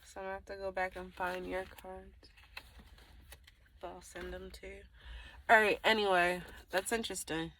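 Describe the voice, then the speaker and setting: A woman's voice: medium pitch, little pitch movement, normal speed, long pauses, noisy background. A woman giving a casual, improvised tarot reading to the viewer, thinking aloud in a rambling way, often trailing off and circling back. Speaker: tarot reader.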